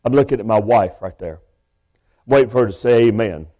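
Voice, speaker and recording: A man, preaching a sermon from a church pulpit microphone in a big, loud voice with folksy asides. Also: lowish voice, fairly quick. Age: 50s. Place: church sanctuary.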